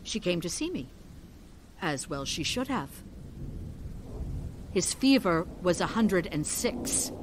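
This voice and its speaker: An actress playing a driven, stern middle-aged village healer in an audio drama, insistent and commanding. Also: female voice, on the low side, big pitch swings, slow pace, pauses often, noisy background. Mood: cold accusation.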